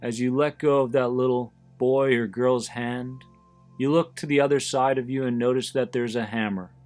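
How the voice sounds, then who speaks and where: A man leading a guided visualization in a deliberate, soothing manner, pausing between short phrases. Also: mid-range voice, fairly even intonation, a little mellow. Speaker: host of an anxiety support podcast, guiding listeners. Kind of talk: guided visualization, meditation narration. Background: background music.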